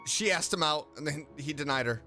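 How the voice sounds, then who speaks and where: A man with a bright, forward voice on the higher side, streaming a game. He comments casually and playfully and reads on-screen text aloud, with faint music behind him. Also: a little fast.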